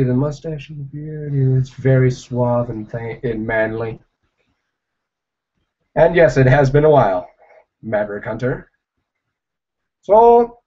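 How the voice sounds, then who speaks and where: A young man drifts slowly through a casual livestream chat, stopping and restarting his thoughts as he answers viewers. His voice sits at an ordinary pitch for a man, and the sound is dull and lo-fi. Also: darkish tone. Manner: rambling, halting, with many fillers and restarts.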